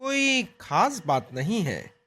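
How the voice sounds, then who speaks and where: A man voicing a mild Indian husband and son in an animated moral story, with a husky voice. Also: high-pitched, slow, full character voice. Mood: concerned.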